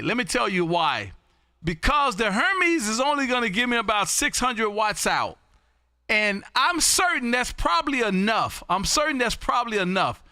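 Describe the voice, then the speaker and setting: Loud, bright-voiced ham operator. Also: male voice, extremely high pitch, no echo, clean studio sound. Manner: loud, folksy and repetitive, calling out call signs. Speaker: ham radio operator hosting a live stream. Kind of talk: casual on-air radio chat. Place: home radio room.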